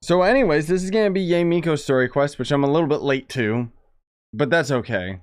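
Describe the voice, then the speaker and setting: A man's voice: on the high side, a little fast, a little hiss or hum. A man giving casual, sardonic and grumbling commentary as he livestreams a game.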